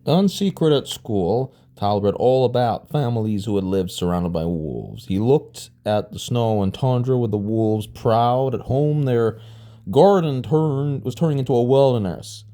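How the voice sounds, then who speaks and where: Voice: mid-range voice, animated, unhurried, warm tone. A storybook narrator reading aloud informally, now and then stumbling over a word and rereading it. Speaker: storybook reader.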